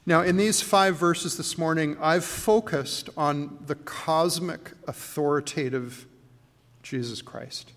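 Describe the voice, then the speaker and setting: A man preaching a sermon in church and calling up a speaker, measured and deliberate. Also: a little high, a bit bright. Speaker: pastor. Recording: long church reverb.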